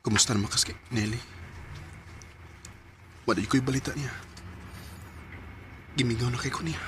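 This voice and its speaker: A Filipino man with a Cebuano accent acting a shy, earnest, lovelorn character in a Bisaya radio drama, speaking haltingly. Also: mid-range voice, a little hiss or hum, lightly acted. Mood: longing.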